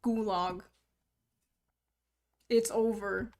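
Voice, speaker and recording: A woman's voice: mid-range voice, fairly even intonation, a little mellow. A woman in her thirties chatting casually on a livestream, teasing her viewers, swearing freely and laughing often. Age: 30s. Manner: teasing, profane, quick to laugh. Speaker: streamer.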